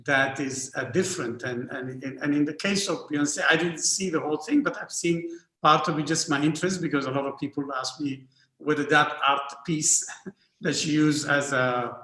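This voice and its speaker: A man from Northern Sudan, an art historian speaking on an academic panel, halting often with repeated fillers and restarts. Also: on the high side, bright, forceful tone. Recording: roomy echo.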